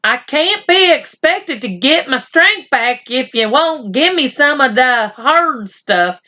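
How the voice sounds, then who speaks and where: A little storybook cowboy, played by a woman, pitched up well above a plain reading voice. He is folksy and given to whining and boasting, drawling in frontier slang on a slightly dull recording. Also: expressive, takes it slowly, pushed and bright, exaggerated voice. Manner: drawling folksy frontier talk with dropped endings. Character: tiny male Old West cowboy, folksy, whining, boastful.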